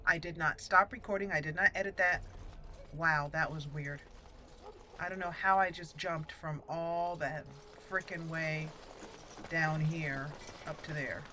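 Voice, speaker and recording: A woman's voice: sits low, steady tone, a little slow, pushed and bright, slight background noise. A woman hosting a gaming channel, chatting casually and animatedly as she plays and thinks aloud. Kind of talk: casual game commentary.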